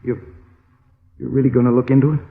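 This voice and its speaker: A male actor playing a bewildered, weary jailed seaman with an American accent in an old radio drama, halting and troubled. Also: medium pitch, level tone, natural voice. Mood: weary, regretful. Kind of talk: 1940s-50s radio drama acting. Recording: tinny, phone-like old radio recording.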